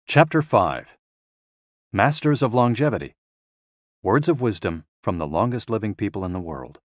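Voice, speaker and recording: A man narrates an audiobook from a script with measured, careful diction. His voice sits on the low side. The recording is dry, with very little room around it. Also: very animated, no echo.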